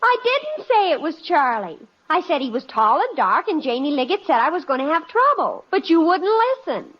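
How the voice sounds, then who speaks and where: High, wailing teenage daughter. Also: female voice, big pitch swings, moderate pace. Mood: aggrieved. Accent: American. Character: dramatic, aggrieved teenage daughter, blaming her parents. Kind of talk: old-time radio sitcom acting. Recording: muffled vintage radio broadcast.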